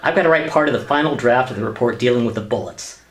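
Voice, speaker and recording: A man's voice: medium pitch, expressive, quick pace, lightly acted. A man playing an earnest, flustered government commission staffer who protests and rationalizes in a sitcom radio play. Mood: exasperated. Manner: exasperated, protesting.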